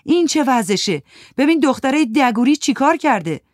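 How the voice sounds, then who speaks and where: A narrator voicing an angry male cafe owner who shouts in outrage. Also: sits high, very animated, no echo, clean studio sound, voice pushed up. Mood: indignant. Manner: shouting, indignant.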